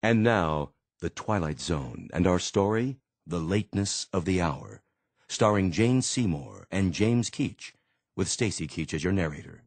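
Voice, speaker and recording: A man's voice: deep voice, animated, a little slow, no room sound. A man announcing a programme in a scripted introduction.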